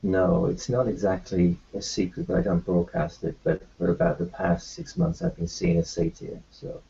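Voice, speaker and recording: A man playing a doctor in a tabletop roleplaying game, speaking haltingly with many ums and restarts. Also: lowish voice, sing-song, creaky, dark tone, slight background noise. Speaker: tabletop player. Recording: muffled lo-fi recording.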